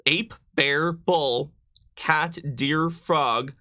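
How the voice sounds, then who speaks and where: A man's voice: on the high side, very slow, bright, forceful tone. A man casually explaining rules and options as a host on a live tabletop game stream. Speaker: game host guiding character creation.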